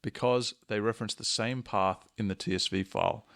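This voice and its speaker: Low-voiced man with a gravelly edge. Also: steady tone, a little fast, fairly bright tone. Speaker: software tutorial presenter. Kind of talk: explanatory screencast tutorial narration.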